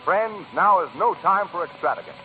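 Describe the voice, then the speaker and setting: Old-time radio announcer guy, kinda high lively voice, punchy, tinny sound with faint music. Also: normal speed. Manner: emphatic, polished promotional delivery. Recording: tinny 1940s radio recording.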